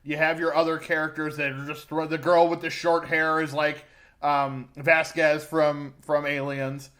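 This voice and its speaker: A man's voice: a little high, little pitch movement, a little slow, fairly bright tone. A man co-hosting a podcast, giving an informal, opinionated film review in a conversational way. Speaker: movie podcast co-host. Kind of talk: casual film review chat.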